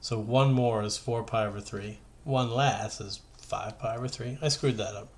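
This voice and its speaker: Man teaching math, thinking out loud. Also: medium pitch, steady tone, normal speed. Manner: thinks aloud, self-correcting, conversational. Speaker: high school math teacher. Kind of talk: informal worked-problem math lesson.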